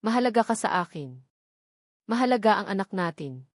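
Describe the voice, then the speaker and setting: A woman's voice: mid-range voice, very animated, a little fast, no room sound, studio quality. A woman voicing a pleading, frustrated young man in love, earnest and insistent, in an audiobook romance drama.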